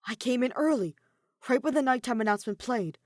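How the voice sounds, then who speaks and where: A woman voicing an anxious, evasive teenage boy in an audio story, earnest and hurried in his reassurances. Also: fairly high pitch, dry recording, studio quality, voice pushed up, close to an everyday voice. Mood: flustered excuses.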